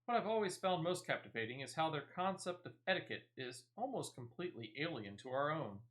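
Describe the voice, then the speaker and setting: A playful young woman who can't resist a giggle as she tells her tale, voiced by a man. Her voice sits high and light in this fantasy game scene. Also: sing-song, a little fast, a bit bright. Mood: amused. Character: young woman, daughter of an old adventurer, teasing.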